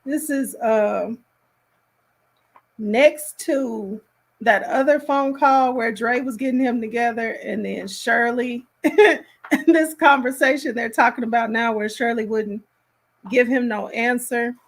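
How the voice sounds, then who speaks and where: A woman hosting a casual reaction commentary, speaking in an appalled, emphatic and halting way. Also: fairly high pitch.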